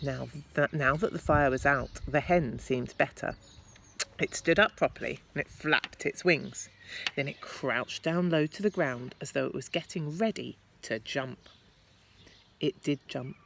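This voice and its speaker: A woman teacher reading a children's story aloud expressively, with deliberate, dramatic emphasis. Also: rumbling low, gravelly, fairly bright tone, a little hiss or hum.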